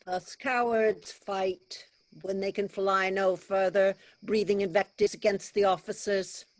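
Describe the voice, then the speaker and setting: A woman's voice: medium pitch, steady tone, unhurried, no echo, close to an everyday voice. A woman playing a ruthless, vengeful male warrior lord in a staged reading of Shakespearean verse, menacing and declamatory. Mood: defiant. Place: online video call.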